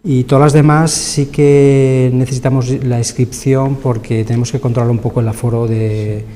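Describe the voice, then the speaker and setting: Man, Spain accent, wordy explainer in interview, even intonation, very mellow tone, reverberant room. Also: mid-range voice, normal speed. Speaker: university event coordinator, interviewee. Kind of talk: conversational interview answers. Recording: reverberant hall, clear background noise.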